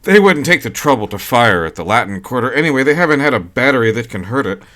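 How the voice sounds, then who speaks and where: A man's voice: medium pitch, expressive, normal speed, voice pushed up, close to an everyday voice. A man voicing a cheerful young husband who brushes off danger with forced unconcern. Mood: breezy. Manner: breezy, falsely unconcerned.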